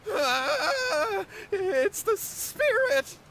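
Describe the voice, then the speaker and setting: Anxious, slow-spoken young brother. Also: male voice, very high voice, very animated, pushed and bright, a little hiss or hum, exaggerated voice. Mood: terrified. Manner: nervous, polite. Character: anxious young brother, easily frightened, apologetic. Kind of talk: video game voice acting.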